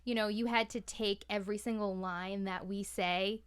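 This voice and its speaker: A woman with a smooth, clean voice at a middle pitch chats as a podcast guest. She is informal and direct, with a blunt turn of phrase and casual swearing. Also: fairly even intonation, moderate pace. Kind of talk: casual chat.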